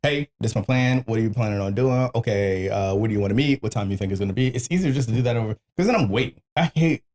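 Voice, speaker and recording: A man's voice: on the low side, fast talker, few pauses, darkish tone. A man in a casual podcast chat, blunt and slangy, swearing freely and acting out the conversations he quotes. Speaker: podcast host.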